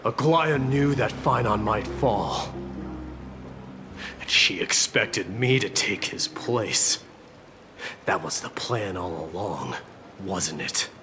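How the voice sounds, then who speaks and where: Deep-voiced brooding warrior prince. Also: male voice, expressive, slow pace, pauses often, noisy background. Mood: grave, brooding. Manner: formal, grave, restrained. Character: proud, stern, brooding male warrior prince. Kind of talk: video game voice acting.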